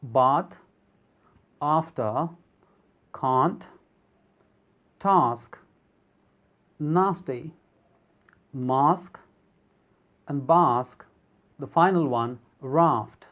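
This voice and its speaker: An English teacher, speaking English as a second language, explains vocabulary and pronunciation in a steady, explanatory way and reads word lists aloud one by one. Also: medium pitch, drawn-out words, long pauses. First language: not English. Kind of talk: narrated vocabulary and pronunciation lesson.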